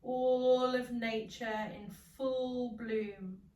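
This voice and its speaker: Very slow-speaking woman guiding meditation. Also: a little high. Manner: calm, soothing, gentle instruction with long pauses. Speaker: yoga nidra meditation guide. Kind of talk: guided meditation. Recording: roomy echo, distant from the mic.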